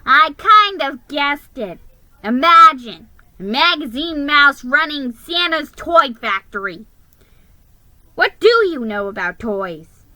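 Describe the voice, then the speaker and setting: A woman's voice: sits high, lively intonation, slow pace, pushed and bright, no room sound, pitched above the performer's own voice, heavily acted, rough recording. Woman putting on a shrieking, jealous little male Christmas elf. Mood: grumbling. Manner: shrieking, fuming, grumbling complaints.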